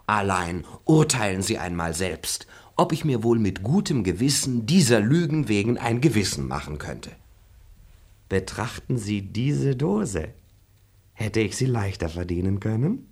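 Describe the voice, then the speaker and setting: A cheeky, husky manservant. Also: male voice, lowish voice, sing-song, a little slow, a little mellow, exaggerated voice. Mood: mocking. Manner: teasing, laughs at his master. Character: cheeky scheming young manservant, mocking liar. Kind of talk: stage radio play acting.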